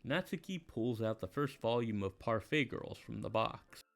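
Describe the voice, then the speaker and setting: A man playing an awkward, mild high school boy, narrating in first person with a hesitant, understated delivery. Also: animated, normal speed, creaky, faint music behind. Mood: dry, understated. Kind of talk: first-person visual novel narration and dialogue.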